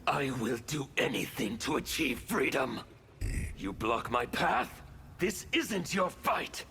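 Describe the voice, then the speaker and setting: An actor playing a fanatical, bitter anarchist warlord in a video game, with a gravelly voice and a theatrical, sneering delivery. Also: male voice, mid-range voice, expressive, slow, bright, forceful tone, slight background noise, full character voice. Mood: defiant zeal. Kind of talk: video game villain dialogue.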